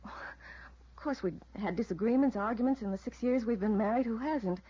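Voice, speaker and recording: An American actress playing the anxious, guarded wife of a missing man in a 1950s radio drama, husky and halting. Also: on the high side, mellow. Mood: worried, halting. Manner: hesitant, halting, subdued. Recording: muffled vintage radio recording.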